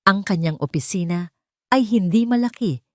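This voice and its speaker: A woman's voice: medium pitch, lively intonation, moderate pace, dry recording, clean studio sound. A woman reading a scripted dramatic story in an emotive storytelling manner. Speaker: story narrator.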